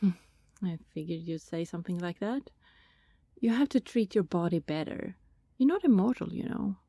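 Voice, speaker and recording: A woman playing a friendly, teasing vampire neighbour in an intimate ASMR roleplay, chatty and casual, with a soft breathy voice that often slips toward a whisper. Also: mid-range voice, dry recording, studio quality. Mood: caring, teasing. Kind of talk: ASMR roleplay, intimate one-sided conversation with listener.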